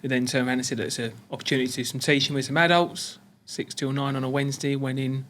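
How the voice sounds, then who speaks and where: A man's voice: medium pitch, steady tone, quick pace, a bit bright, a little hiss or hum. A British man, a bricklaying lecturer, talking keenly about teaching in a casual podcast chat. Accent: British English.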